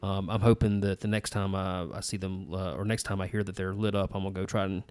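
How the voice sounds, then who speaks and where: Low-voiced man, rambling chat. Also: little pitch movement, quick pace, a little mellow, clean tone. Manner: rambling, folksy, self-deprecating. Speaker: podcast co-host. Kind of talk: casual chat.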